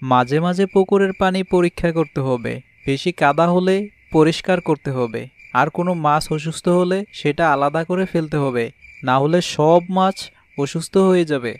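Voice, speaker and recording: A man's voice: a little high, moderate pace, close to an everyday voice. A man voicing a husband bird in a children's moral cartoon, explaining things patiently and fairly. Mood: caring, advising. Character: husband bird with two wives, advising and fair.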